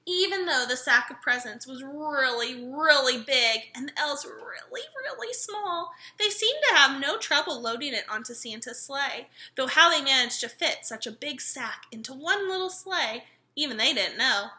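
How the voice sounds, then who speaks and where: Animated, high-voiced woman reading to children. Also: normal speed, few pauses, reverberant. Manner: expressive, engages listeners with questions. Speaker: read-aloud storyteller. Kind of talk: animated children's picture book read-aloud with asides to young listeners.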